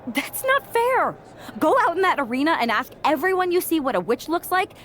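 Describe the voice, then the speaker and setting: A woman's voice: very high-pitched, very animated, normal speed, fairly bright tone, a little hiss or hum, exaggerated voice. A woman voicing an eager, overdramatic young wrestler who wants to be a witch, in a video game. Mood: indignant, determined. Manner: perky, pleading, overdramatic. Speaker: voice actor in a video game cutscene. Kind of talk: animated video game acting.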